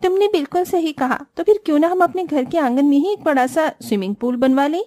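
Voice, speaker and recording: A woman's voice: high voice, lively intonation, brisk, mellow, dry recording. A woman voicing a haughty, spoiled and showy young rich sister-in-law in a cartoon family story. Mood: eager, excited. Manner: scornful, complaining, showy.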